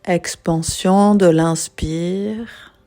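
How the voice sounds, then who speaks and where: A woman guiding a yoga nidra meditation in a soothing, unhurried manner, leaving long pauses. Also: on the low side, steady tone, dark tone, soft background music. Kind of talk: guided meditation.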